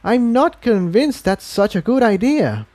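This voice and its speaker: Man putting on a high-pitched, wary female unicorn pony. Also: very animated, measured pace, a little mellow, no echo. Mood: doubtful.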